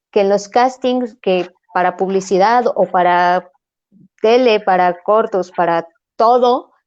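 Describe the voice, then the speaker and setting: Female panel moderator, mellow and measured with some hesitation; muffled lo-fi online call sound. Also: mid-range voice. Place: remote video call. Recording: dull lo-fi video call audio.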